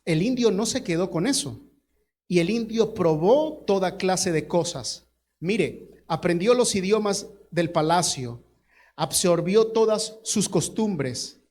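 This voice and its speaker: A man's voice: high-pitched, a little mellow. A male pastor preaching a sermon, telling a story dramatically and emphatically.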